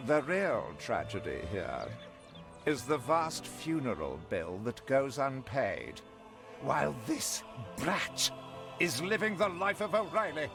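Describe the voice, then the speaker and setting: An actor playing a pompous, spiteful old tyrant of an uncle in a video game, blustering and scornful. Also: male voice, medium pitch, very animated, slow, vocal fry, heavily acted. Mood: scornful, bitter. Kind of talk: video game cutscene acting. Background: music.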